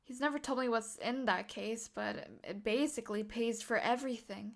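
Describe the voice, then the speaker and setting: A woman's voice: a little high, moderate pace, gravelly. A woman voicing an eager, mouthy young vigilante girl in a comic dub. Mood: quiet, curious.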